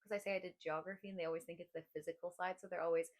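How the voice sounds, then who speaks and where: Low-voiced young woman, chatty podcast co-host. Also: flat delivery, rapid-fire. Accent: international school American-sounding. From Middle East. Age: 20s. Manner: chatty, rambling with many fillers and restarts. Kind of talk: casual chat.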